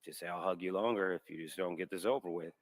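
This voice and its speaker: A father speaking at a memorial, halting and heartfelt with fillers. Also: low voice. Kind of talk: memorial speech.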